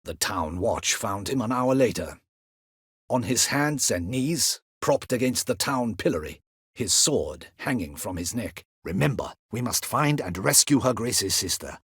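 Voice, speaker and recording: A proud stern male guard captain for a fantasy game, clipped n haughty, raspy edge, very dry close recording. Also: on the low side, lively intonation, measured pace, no echo, heavily acted. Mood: grave, formal. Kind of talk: fantasy video game voice acting.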